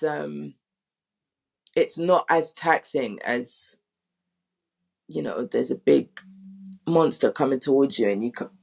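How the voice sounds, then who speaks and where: A woman director in a casual panel talk, thinking aloud in a halting, conversational way. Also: extremely low pitch, gravelly, a little mellow, husky. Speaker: audio drama director.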